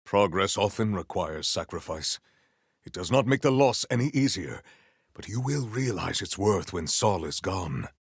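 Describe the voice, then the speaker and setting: A man voicing a big, formal, earnest warrior in a video game, with stately, measured phrasing and a solemn temper. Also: a bit bright, dry recording, studio quality, exaggerated voice. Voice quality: deep, gravelly.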